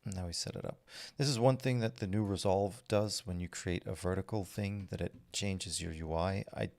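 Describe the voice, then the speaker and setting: A man, chatting casually on a livestream and answering viewers' questions while he works, relaxed and rambling with lots of fillers and restarts. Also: deep voice, moderate pace, creaky. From Belgium. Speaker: streamer answering chat.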